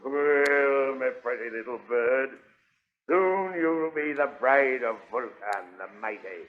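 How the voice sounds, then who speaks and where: An actor playing a blustering, leering tyrant king of the Hawkmen in a gravelly, theatrical 1930s radio serial style. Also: mid-range voice, expressive, takes it slowly. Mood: leering, wheedling. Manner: bellowing, gloating, theatrical. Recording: tinny band-limited 1930s radio recording.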